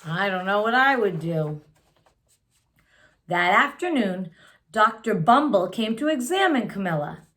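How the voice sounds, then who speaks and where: A woman reading a children's picture book aloud expressively, addressing young listeners in a deliberate way. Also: mid-range voice, expressive, a bit bright.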